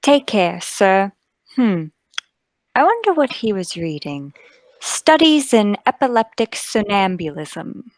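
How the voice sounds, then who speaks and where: Woman playing a slow-spoken, animated librarian. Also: medium pitch, no room sound. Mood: curious. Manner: polite, measured. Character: courteous, inquisitive female librarian. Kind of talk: radio play table read.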